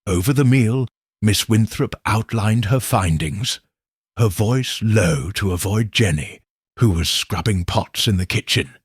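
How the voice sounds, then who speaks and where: A man's voice: on the low side, unhurried, husky, dry recording, clean studio sound. A man reading scripted first-person mystery fiction as an audiobook narrator.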